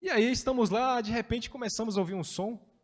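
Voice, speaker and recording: Guy teaching bible study, kinda high voice, lots of reverb, chatty. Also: a little fast. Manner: chatty, explains with everyday examples, frequent "né".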